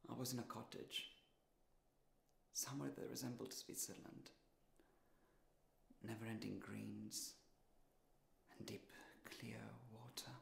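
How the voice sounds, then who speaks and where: An actor playing a despairing, insecure man in his thirties in a confessional, halting dramatic monologue. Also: fairly low pitch, level tone, gravelly, a bit bright, slight background noise, full character voice. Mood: wistful, hushed. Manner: confessional, halting, deliberate pauses. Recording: roomy, echoing sound.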